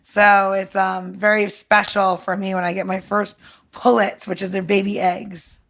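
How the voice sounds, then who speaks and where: A woman's voice: medium pitch, level tone, unhurried, gravelly, a bit bright. A woman co-hosting a podcast, talking in a casual, instructional way with an informal, emphatic and jokey manner. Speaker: podcast co-host demonstrating drink recipes.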